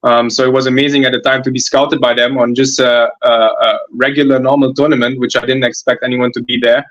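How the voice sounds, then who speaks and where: A man's voice: mid-range voice, flat delivery, a little fast, dull audio. A man in his twenties from Germany, a footballer answering interview questions, hesitant, with many fillers and restarts. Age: 20s. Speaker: guest footballer.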